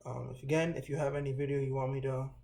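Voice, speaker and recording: A man hosting a children's read-aloud, reading a storybook with a somewhat halting delivery. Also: mid-range voice, little pitch movement, vocal fry, warm tone.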